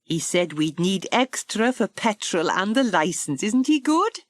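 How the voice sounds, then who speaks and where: A woman's voice: medium pitch, sing-song, a little slow, no room sound. A woman voicing a confident, helpful lady doctor and village organiser in a children's storybook. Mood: pleased.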